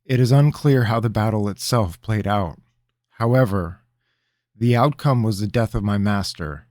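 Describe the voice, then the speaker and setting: A man's voice: fairly low pitch, moderate pace, darkish tone, no echo, clean studio sound, voice pushed up, close to an everyday voice. A man voicing a frightened young male apprentice mage who answers respectfully and anxiously.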